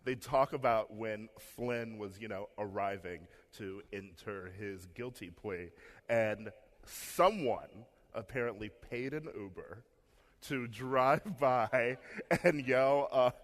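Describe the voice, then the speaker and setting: Slow-paced man in panel chat. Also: lowish voice.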